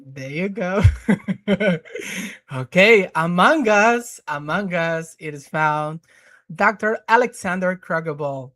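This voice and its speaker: A man's voice: sits high, animated, slow, sharp tone, dry recording. A man hosting a podcast interview, talking casually with many fillers and restarts. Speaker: podcast host.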